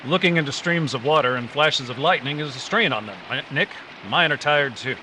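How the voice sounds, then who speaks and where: Man playing a bright, commanding radio adventure hero. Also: mid-range voice, brisk, natural voice, poor audio. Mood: earnest explaining. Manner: earnest, commanding, explanatory. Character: brisk, authoritative male adventure hero, patient explainer. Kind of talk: old-time radio adventure acting. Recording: live stage recording with background noise.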